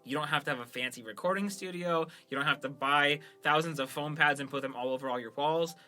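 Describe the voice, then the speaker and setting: Guy hosting a podcast, kinda high bright voice, emphatic casual talk, bit of music. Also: fast. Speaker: podcast host and audio drama producer. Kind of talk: casual chat.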